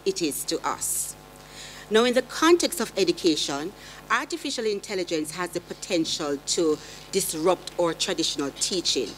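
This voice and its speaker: A woman's voice: lowish voice, a little hiss or hum, low-quality recording. Earnest woman lecturing on a panel. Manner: earnest, rhetorical questions, emphatic. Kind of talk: prepared academic presentation. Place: conference hall panel table. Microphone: table gooseneck mic.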